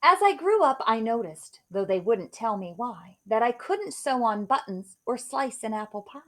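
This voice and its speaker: High, animated woman reading a storybook. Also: moderate pace. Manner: theatrical, emphatic delivery of rhymes. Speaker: storybook reader. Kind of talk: expressive read-aloud of a rhyming children's story.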